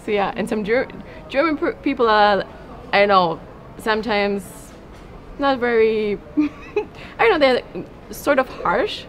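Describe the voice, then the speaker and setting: A woman's voice: fairly high pitch, lively intonation, a little slow, long pauses. A woman answering an interview casually, hesitant and full of fillers. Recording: noisy recording with ambient hum.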